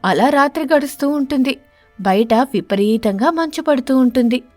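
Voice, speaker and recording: Lady telling a kids animated story, high voice, dry sound, soft music behind. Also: moderate pace, dry recording. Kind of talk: scripted narration of an animated moral story.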